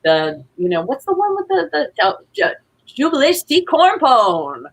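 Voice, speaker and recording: A woman guest in a casual chat, excitable and rambling, jumping from one half-finished thought to the next. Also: sits high, unhurried. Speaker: podcast guest, playwright.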